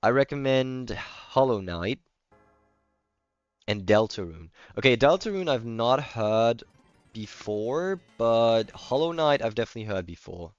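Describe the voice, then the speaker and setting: A man's voice: medium pitch, lively intonation, a little slow, clear, resonant tone, dry recording, lo-fi sound, soft background music. A man with a German accent, a native German speaker, chatting casually as he livestreams a game and answers viewer comments. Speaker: livestreamer playing a video game. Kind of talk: casual chat, replying to stream comments.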